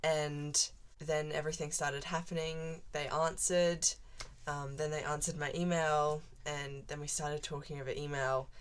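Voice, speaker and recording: A teenage Australian girl talks straight to camera in a chatty, meandering way, stringing thoughts together with lots of "like". Her voice sits low for a young woman. She sounds relaxed and unscripted, as in a first vlog. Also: a little slow, a little hiss or hum. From Central Australia. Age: teens. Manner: chatty and rambling, many "like" fillers. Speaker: vlogger. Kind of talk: casual chat to camera.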